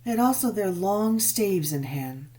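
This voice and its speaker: A woman reading a gothic novel as dramatic narration, measured and expressive, with drawn-out emphasis. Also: mid-range voice. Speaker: audiobook narrator.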